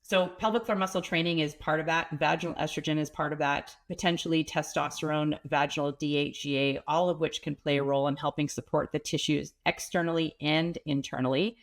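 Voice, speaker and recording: A woman with a cold and a slightly stuffy, nasal voice, a pelvic health expert calmly explaining things as an interview guest. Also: low voice, fairly quick, few pauses. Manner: earnest, explanatory, some restarts. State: has a cold, sniffling.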